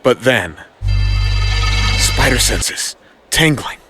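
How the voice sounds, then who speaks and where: A man's voice: medium pitch, lively intonation, very slow, music in the background. A man narrating a scripted audio comic story in a dramatic storytelling style.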